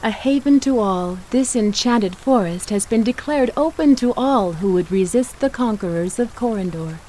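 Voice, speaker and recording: A woman playing a solemn storyteller, narrating a fantasy video game in a grand, measured way. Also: on the high side, lively intonation, mellow, close to an everyday voice. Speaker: campaign narrator. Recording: noisy game audio with hiss.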